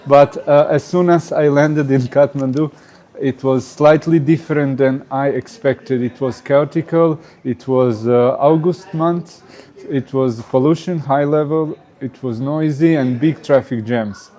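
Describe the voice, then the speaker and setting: Man, Croatian accent, non-native English, mellow warm tone, hesitant, many fillers, interview guest. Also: mid-range voice, unhurried. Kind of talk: casual interview answers.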